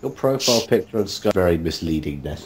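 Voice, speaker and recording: A man's voice: low voice, animated, fast, a little hiss or hum. A man chatting casually as an interviewed voice actor, in a joking, self-mocking manner. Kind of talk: casual chat.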